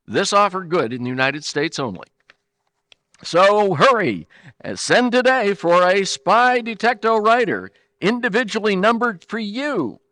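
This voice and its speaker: A man delivers old-time radio announcing with excited emphasis. His voice is high and bright, swinging widely in pitch, and he takes his time over the words in a dry, close sound. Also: no room sound. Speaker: announcer and narrator. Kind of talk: 1940s radio acting, announcing and narration.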